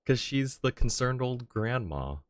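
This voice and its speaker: A man's voice: medium pitch, very animated, measured pace, soft background music. A man giving casual live commentary as a game streamer.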